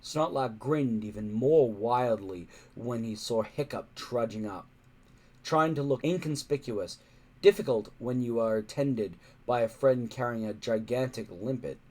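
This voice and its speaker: A man's voice: medium pitch, unhurried, darkish tone. A man reading a children's story aloud in a dramatic, emphatic narrating style. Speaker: audiobook-style story reader.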